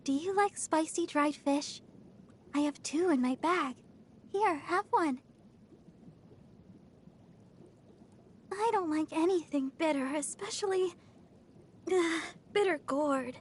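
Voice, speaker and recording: A woman's voice: very high-pitched, unhurried, slight background noise. A woman playing a sweet, earnest, perky teenage girl idol healer in an anime video game. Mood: friendly.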